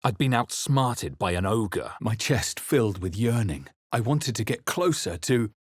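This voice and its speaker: A grave, guarded male adventurer from a fantasy video game. His voice sits very low and has a husky grain. He delivers each line in a measured, brooding way, recorded close and very dry. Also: animated, no room sound. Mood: solemn, intent. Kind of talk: dramatic video game voice lines and first-person narration.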